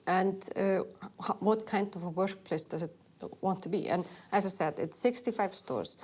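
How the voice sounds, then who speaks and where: A woman's voice: mid-range voice, normal speed, darkish tone, husky. A middle-aged woman from Iceland with an Icelandic accent, speaking English as an interview guest, friendly and hesitant with many fillers. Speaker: retail executive guest. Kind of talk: in-person interview.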